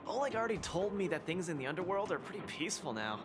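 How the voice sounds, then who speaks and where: An actor voicing a boisterous, eager young male fighting champion in a video game, with an excitable, cajoling delivery. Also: high-pitched, quick pace. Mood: teasing, triumphant. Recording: loud music under the voice.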